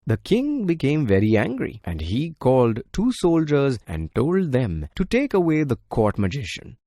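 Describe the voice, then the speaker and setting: A man's voice: mid-range voice, wildly swooping pitch, normal speed, mellow, dry recording, clean studio sound. A man reading a scripted bedtime story for children as its narrator.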